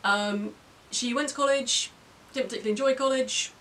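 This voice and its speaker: A woman's voice: high voice, steady tone, fairly quick, a bit bright, rough recording. A middle-aged woman chatting casually as a guest reviewer, with brief, understated remarks. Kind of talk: casual chat. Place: indoor room with bookshelves.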